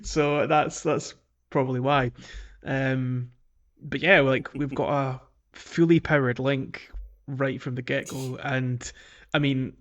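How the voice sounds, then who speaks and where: A man's voice: mid-range voice, steady tone, slow, fairly bright tone. A man co-hosting a gaming podcast in casual conversation, jokey and given to chuckling. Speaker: podcast co-host.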